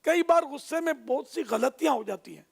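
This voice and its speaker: A man telling a children's moral story in an expressive way, addressing his young listeners directly and drawing out phrase endings for emphasis. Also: extremely high pitch, a little fast, creaky.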